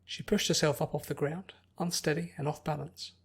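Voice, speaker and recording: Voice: medium pitch, steady tone, a little fast, slightly rough. A narrator reading scripted fiction as an audiobook.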